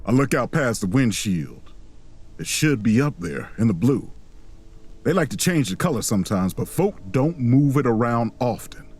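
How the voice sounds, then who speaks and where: A calm, folksy, reflective traveler in an eerie audio drama. His husky voice swings expressively as he measures out each observation and soothes his companions. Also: mid-range voice, moderate pace. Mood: wary, reflective. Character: calm, folksy, reflective man narrating an eerie road trip. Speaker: actor and narrating character in an audio drama. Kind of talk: audio drama acting with first-person narration.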